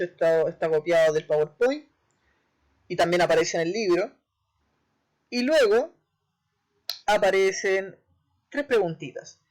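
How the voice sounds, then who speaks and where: A history teacher with a Chilean accent giving a recorded online class, explaining step by step and often checking in with short tag questions. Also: medium pitch. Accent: Chilean Spanish. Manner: repeats key terms, brief hesitations and self-corrections.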